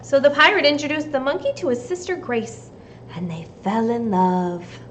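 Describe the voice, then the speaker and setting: A woman from the United States reading a storybook aloud and giving children simple, deliberate instructions like a teacher. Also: a little high, a bit bright, slight background noise. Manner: deliberate, simple phrasing addressed to young children.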